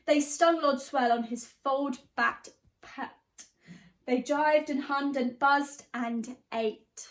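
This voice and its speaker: A woman with an expressive, chatty and encouraging way of talking, leading and reading aloud for young children as their teacher. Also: high voice, slow pace. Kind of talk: animated storybook read-aloud and guided exercise.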